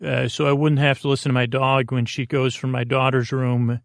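Gravelly American man, rambling and halting. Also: mid-range voice, level tone, no room sound, clean studio sound. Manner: halting, self-deprecating. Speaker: sleep podcast host. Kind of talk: rambling, meandering bedtime monologue.

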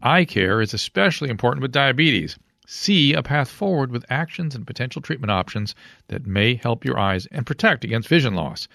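A man's voice: medium pitch, expressive, normal speed, creaky, pushed and bright, no echo, clean studio sound. An American man reading a scripted health advertisement as an announcer. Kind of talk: scripted ad narration.

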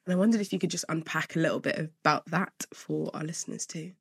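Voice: medium pitch, fast talker, gravelly, fairly bright tone, husky, no echo. A casual podcast co-host who chats easily and asks open questions. The voice sits mid-range and sounds dry, with no room around it. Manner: chatty, laughs easily. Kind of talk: casual interview chat. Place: in London.

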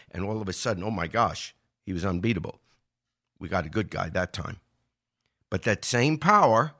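Male political commentator, lowish pitch, earnest and emphatic, speaking as a show regular. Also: pushed and bright. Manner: earnest, emphatic, repeats key points. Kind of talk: political commentary before a live audience.

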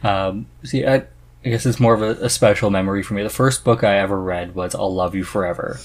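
Podcast host, male, deep voice, conversational and a bit halting, recorded with light noise behind him. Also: steady tone. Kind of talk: casual conversation about reading.

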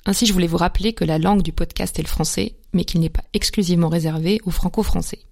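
A woman's voice: lowish voice, steady tone, quick pace, vocal fry, darkish tone. Woman hosting a podcast. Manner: hesitant, many fillers when interviewing. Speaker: podcast host. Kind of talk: scripted intro then interview.

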